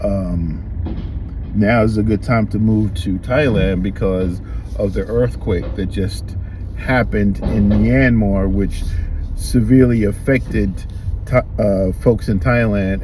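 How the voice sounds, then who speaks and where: A man in his fifties from New York, vlogging casually in a car while he drives, rambling slowly with many ums and restarts, filmed on a phone held at arm's length. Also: lowish voice, recorded from a distance. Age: early retirement age, 50s. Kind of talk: casual vlog talk while driving. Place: inside a car, driving. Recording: phone mic held back, loud car and road noise. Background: car and road noise.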